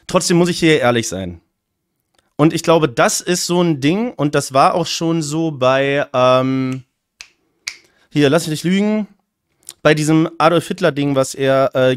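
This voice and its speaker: German-native male streamer, fairly high voice, dry close sound, opinionated and quick in bursts. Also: dry recording. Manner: opinionated, talks in fast bursts. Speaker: streamer reacting to a rap battle. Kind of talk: casual commentary.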